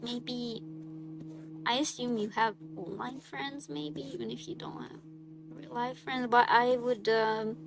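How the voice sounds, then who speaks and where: Young female streamer, higher voice, unhurried easy chat. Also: music in the background, poor audio. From Hungary. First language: Hungarian. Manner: relaxed, chatty, laughs often. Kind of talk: casual chat reading viewer questions.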